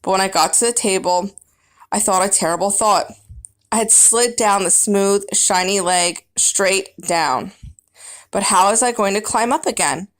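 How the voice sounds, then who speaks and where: A woman's voice: medium pitch, a little slow. A woman reading a children's book aloud as first-person narrator, with dramatic, emphatic storybook phrasing.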